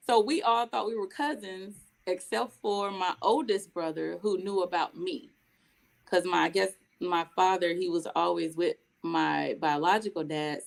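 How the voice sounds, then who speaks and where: Gravelly-voiced woman telling her story. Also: lowish voice. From Mississippi, raised in North Carolina. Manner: chatty, laughs often, long storytelling answers. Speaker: guest, spoken word poet. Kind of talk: casual interview chat.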